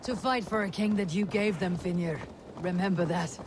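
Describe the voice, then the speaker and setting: A woman playing a stern, resolute Viking raider leader in a game, speaking in a blunt, measured, commanding way. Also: lowish voice, noisy background, lightly acted.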